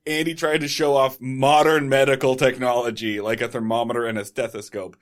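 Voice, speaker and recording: A man's voice: medium pitch, little pitch movement, fairly quick. A man co-hosting a podcast, rambling loosely and laughing often. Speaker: podcast co-host. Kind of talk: casual podcast chat.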